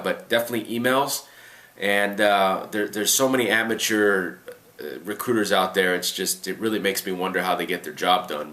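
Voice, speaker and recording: A man speaks in a fairly low voice with noticeable room echo. He gives informal, unscripted advice as the host of a Q&A show. His delivery is instructive and emphatic, laying out points one by one and frequently checking that the listener follows. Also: a bit bright. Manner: instructive, emphatic step-by-step, often checking with "okay?" and "right?". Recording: echoey, roomy sound.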